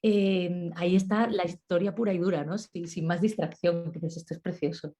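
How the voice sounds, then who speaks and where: A woman from Málaga with a low, soft, mellow voice, talking through her memories at an easy pace. She tells her stories in a relaxed way, checking in with her listener often. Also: moderate pace. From Málaga, Spain. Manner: warm storyteller, many "¿no?" tags. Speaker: guest, nutrition specialist. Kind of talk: relaxed storytelling interview.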